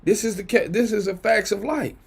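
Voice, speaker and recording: A man hosting his own commentary show, his raspy baritone carrying a gravelly edge and sitting slightly higher than most. He talks bluntly and profanely, circling back and punctuating points with "okay?" as he rants to his viewers. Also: a little fast. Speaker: commentary channel host. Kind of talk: casual commentary rant.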